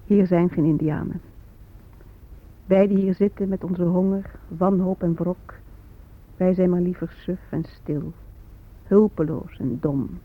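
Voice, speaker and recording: A woman's voice: lowish voice, fairly even intonation, a little slow, pauses often. A woman playing the weary, despairing voice of an oppressed Native people in a poetic Dutch radio drama. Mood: despairing, resigned. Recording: phone-like narrow old radio recording.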